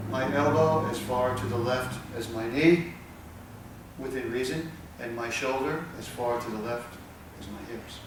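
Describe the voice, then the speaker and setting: A man giving patient, plain spoken instruction while he demonstrates, pausing between short phrases. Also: medium pitch. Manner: plain, patient explaining with pauses. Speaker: tai chi instructor. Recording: echoey, distant with background noise.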